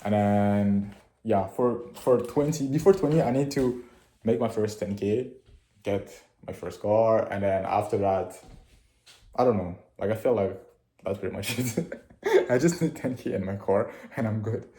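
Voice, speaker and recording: A man's voice: on the low side, a little slow, dark tone, roomy sound. A young man of nineteen talking through his goals in a casual daily vlog, rambling with many fillers and restarts. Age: late teens. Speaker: vlogger.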